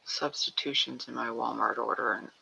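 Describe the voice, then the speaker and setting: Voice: medium pitch, fairly even intonation, moderate pace, vocal fry, sharp tone, husky, poor audio. A person hosting a live stream as a tarot reader, talking casually and bluntly while giving readings. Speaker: psychic tarot reader, livestream host.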